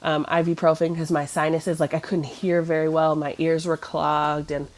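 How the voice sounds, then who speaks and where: A woman's voice: low voice, little pitch movement, moderate pace. A woman in her thirties chatting casually, sounding stuffy and nasal from a cough, rambling with many fillers and restarts. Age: 30s. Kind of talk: casual chat.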